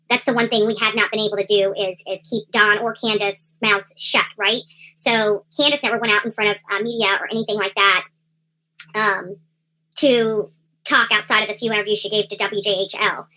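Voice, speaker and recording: A woman hosting a livestream in a casual, rambling commentary style with halting delivery. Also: mid-range voice, pushed and bright, slight background noise, low-quality recording. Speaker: livestream host. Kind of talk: casual livestream chat, true crime commentary.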